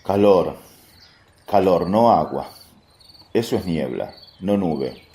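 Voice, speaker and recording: Very low-voiced, gruff fisherman Peter played by a man. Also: lively intonation, slow, dark tone, a little hiss or hum, heavily acted. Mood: gruff, matter-of-fact. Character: Peter, gruff plain-spoken fisherman apostle. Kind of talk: religious radio drama acting.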